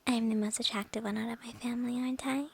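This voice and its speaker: A woman acting a slow, whispery young vampire girl. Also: fairly high pitch, heavily acted. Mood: coy, amused. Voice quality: soft, breathy, often whispering. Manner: coy, hesitant, drawn-out phrasing with soft chuckles. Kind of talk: ASMR roleplay, intimate girlfriend-style monologue.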